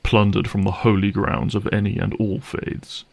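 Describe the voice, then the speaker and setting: A man playing a gruff, cynical vampire hunter for hire in a dramatic audio roleplay, speaking in a wry, sardonic and menacing way. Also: fairly low pitch, little pitch movement, normal speed, darkish tone. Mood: guarded, bargaining. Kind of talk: dramatic audio roleplay monologue addressed to listener.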